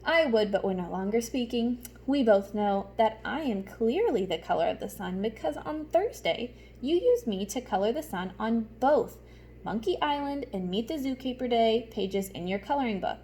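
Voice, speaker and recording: A woman's voice: fairly high pitch, animated, moderate pace, dark tone, a little hiss or hum. A woman voicing a smug, squabbling male orange crayon from a picture book, boastful and sneering. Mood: smug, bickering.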